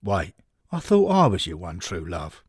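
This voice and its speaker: A man's voice: low-pitched, huge pitch swings, unhurried, slightly rough, dry recording, studio quality, pitched below the performer's own voice, heavily acted. A man voicing a wry, affectionate male football fan who teases his devoted partner in an audio story. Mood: teasing. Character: teasing, affectionate man, half of a devoted couple.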